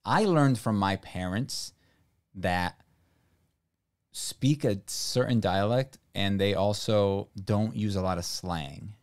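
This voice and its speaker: A man's voice: fairly low pitch, slow, no room sound. A man in his thirties from Brazil, chatting casually and rambling on a home livestream into a close studio mic. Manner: relaxed, rambling, filler-heavy talk. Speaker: stream host. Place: home studio room, indoors. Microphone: studio mic on boom arm, close.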